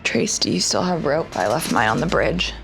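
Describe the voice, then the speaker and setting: A woman's voice: sits low, sing-song, moderate pace, creaky, fairly bright tone, faint music behind, rough recording. A woman playing a tabletop role-playing game, casually describing what her character says and does. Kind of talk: casual in-game chat and narration.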